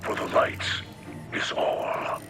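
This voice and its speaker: A man voicing a solemn, fatherly alien mystic in a video game, with a breathy, raspy delivery and deliberate, ceremonial phrasing. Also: loud music behind the voice, close to an everyday voice. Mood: reverent, urging. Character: alien Elixni sacred splicer, fatherly solemn mentor. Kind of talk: video game cutscene acting.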